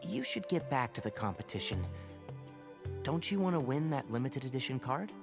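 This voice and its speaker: A frank young male researcher, with a medium-pitched voice and an articulate, practical delivery. He explains things matter-of-factly, like a game character giving level-headed advice, with music clearly behind him. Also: very animated, normal speed. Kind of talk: anime-style video game voice acting.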